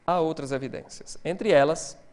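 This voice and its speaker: A middle-aged man preaching a lecture-style sermon, animated, swinging between quiet explanation and loud excitement. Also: a little high, normal speed.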